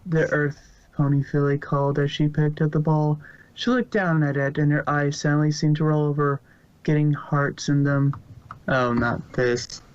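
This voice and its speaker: A man's voice: a little high, steady tone, moderate pace, no room sound. Male story narrator, muffled dry sound. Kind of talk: scripted story narration of fan fiction.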